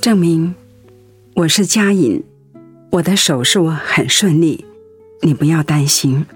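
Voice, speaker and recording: An actress playing a gentle, anxious wife who cares for her sick husband in a Mandarin radio drama. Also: low voice, takes it slowly, breathy edge, full character voice. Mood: concerned, soothing. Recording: music under the voice.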